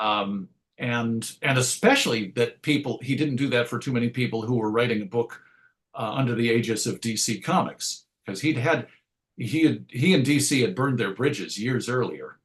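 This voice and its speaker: A man with a mid-pitched voice answers as a comics writer in an interview. His delivery is conversational and reflective, wandering a little and doubling back to rephrase as he thinks. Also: moderate pace.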